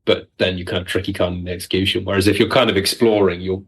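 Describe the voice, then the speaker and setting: A man talks casually as a guest writer in an interview. His voice sits fairly low and has a husky, slightly rough texture. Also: fairly even intonation, racing. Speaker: audio drama writer, podcast guest. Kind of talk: casual discussion.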